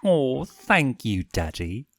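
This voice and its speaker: A man plays a tall, elegant owl demon prince from a cartoon fan story. He is doting and flirty, simpering with theatrical courtesy and swooping, expressive intonation. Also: mid-range voice, slow, dry recording, voice pushed up, heavily acted.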